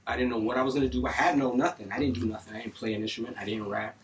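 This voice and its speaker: A middle-aged man from North Miami telling stories in a casual studio interview, animated and full of street slang and cursing. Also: mid-range voice, very fast. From North Miami, Florida. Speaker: music engineer guest. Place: recording studio. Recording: distant mic, faint noise.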